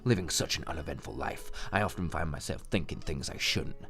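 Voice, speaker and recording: A man's voice: a bass voice, lively intonation, a little fast, fairly bright tone. A man co-hosting a let's play, reading a visual novel aloud theatrically and joking in a giggly way.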